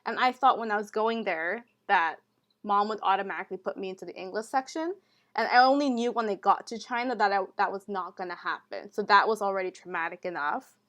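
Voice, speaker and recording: A young woman co-hosting a podcast, telling stories in a relaxed, casual way and often saying "like". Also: mid-range voice, fairly quick. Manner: relaxed storytelling, heavy use of "like". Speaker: podcast co-host. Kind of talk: casual chat.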